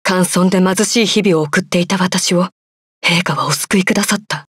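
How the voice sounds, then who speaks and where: A woman voicing a loyal, dutiful young female imperial knight in a video game, formal and measured. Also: on the low side, fairly bright tone, breathy edge, no room sound, studio quality. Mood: resolute. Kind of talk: game voice clips.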